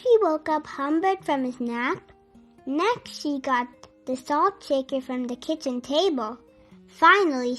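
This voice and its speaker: A woman reading a children's book aloud in an animated, deliberate, clearly enunciated storytelling style for young listeners. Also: high-pitched. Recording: music behind the voice. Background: music.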